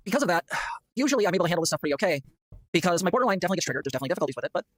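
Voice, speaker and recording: A man's voice: sits high, very animated, rapid-fire, no echo. A man explaining himself in a vlog clip, rambling and hedging with many fillers. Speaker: YouTuber in a played clip.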